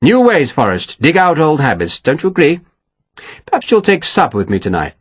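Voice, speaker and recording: Colonel: man playing a lilting, husky, grand old-school officer, smoothing things over. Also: fairly high pitch, wildly swooping pitch, fairly quick, no echo, full character voice. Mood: placating. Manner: breezy, placating, grand. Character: genteel, evasive male army colonel.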